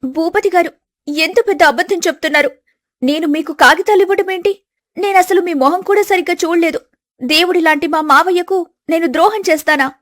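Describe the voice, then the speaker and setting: A woman's voice: very high-pitched, brisk, pitched above the performer's own voice. A woman playing a young, falsely accused daughter-in-law who pleads tearfully and earnestly in a family storybook melodrama. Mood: distressed pleading.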